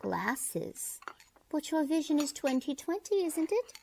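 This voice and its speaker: A woman's voice: high-pitched, sing-song, takes it slowly, vocal fry, warm tone, slight background noise, full character voice. A woman playing a kindly, chatty landlady in old-time radio comedy, folksy and fussing. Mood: motherly fussing. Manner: folksy, fussing over her lodger.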